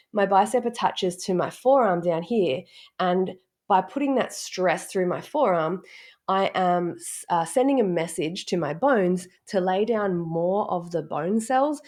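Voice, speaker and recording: A woman coach with a slightly low voice, a little croaky from a cold. She talks casually through her explanations, step by step and loose with filler words. Easygoing instructional delivery. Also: a little mellow. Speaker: strength coach. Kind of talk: casual instructional talk to camera.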